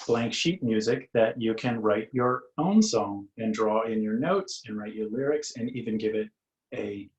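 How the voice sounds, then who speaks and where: A man's voice: fairly low pitch, darkish tone, reverberant, poor audio. A man reading a picture book to young children as its author, in a singsong, inviting way with questions for listeners.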